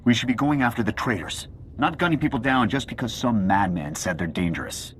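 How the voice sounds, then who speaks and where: Casting: actor as a blunt, grumbling squad soldier, sci-fi game. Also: male voice, medium pitch, lively intonation, brisk, a little hiss or hum, close to an everyday voice.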